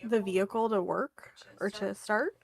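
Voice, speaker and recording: A woman joins in a tabletop roleplaying session as a player. She speaks at a medium pitch in casual, informal game chat. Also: fairly even intonation, moderate pace, vocal fry, no room sound.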